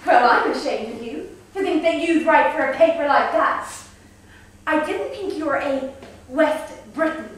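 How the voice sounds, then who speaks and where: A woman's voice: high-pitched, measured pace, slight background noise. An actress playing a spirited young Irish nationalist woman who teases and presses with an Irish accent, in a stage play. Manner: teasing, needling, insistent. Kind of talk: stage acting. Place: large museum room. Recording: echoey hall, mic far off.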